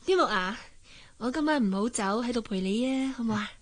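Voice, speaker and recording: A woman's voice: a little high, lively intonation, brisk. An actress playing a haughty, spiteful young rich woman in a radio drama, with a Hong Kong accent and a sneering, coquettish delivery. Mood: coaxing, pouty. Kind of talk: Cantonese radio drama acting.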